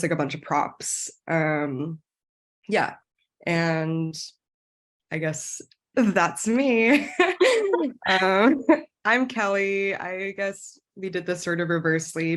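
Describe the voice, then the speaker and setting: A woman researcher and artist giving a casual, hesitant project introduction on a video call, with many fillers. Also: low voice.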